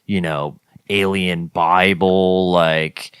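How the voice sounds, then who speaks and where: A man with a deep, smooth voice chats as a comedy co-host. He drawls slowly in casual slang, laid-back and stoner-like. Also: level tone, a bit bright, dry recording, studio quality. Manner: stoner-like drawn-out slang, frequent "like" and "dude".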